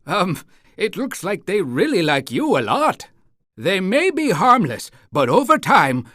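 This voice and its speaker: A man's voice: high voice, a bit bright, no echo, clean studio sound, heavily acted. A man voicing an eager, polite and fussy town-guide creature in a cartoon game, with theatrical announcing and awkward hesitations.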